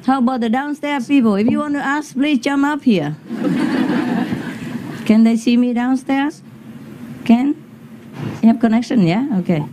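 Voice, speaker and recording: A woman's voice: a little high, unhurried, pauses often, noisy background. A woman leading an informal question-and-answer gathering, who speaks in a chatty, teasing way with short questions. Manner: chatty, teasing, laughs often, brief questions. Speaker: spiritual teacher leading a gathering. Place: large indoor meeting hall with an audience.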